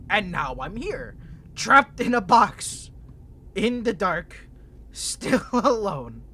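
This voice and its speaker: A man's voice: sits high, pushed and bright, a little hiss or hum, full character voice. A man voicing an unhinged, ancient imprisoned vampire who is flirtatious, needy and manipulative, talking in a theatrical, rambling, teasing way in an audio roleplay. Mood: weary, bitter. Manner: theatrical, rambling, teasing, swinging between smug and pleading. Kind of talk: dramatic character audio roleplay.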